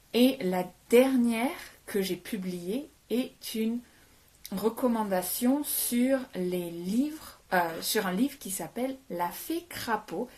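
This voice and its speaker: A woman's voice: medium pitch, mellow. A woman from France giving a casual French lesson on a phone live stream. She chats, greets viewers, answers their comments and slips English words into her French. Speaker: French teacher. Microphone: phone held for a live stream.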